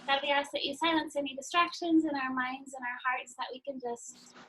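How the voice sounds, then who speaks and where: High bright woman hosting, gushy intro then a soft heartfelt prayer. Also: fairly quick, low-quality recording. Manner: warm, gushing, trailing into quiet prayer. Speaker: host.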